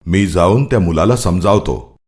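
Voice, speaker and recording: Deep, solemn god Brahma acted by a man. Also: moderate pace, a little mellow, lightly acted. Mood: calm. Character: grave male elder god Brahma. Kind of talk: children's mythological cartoon dialogue.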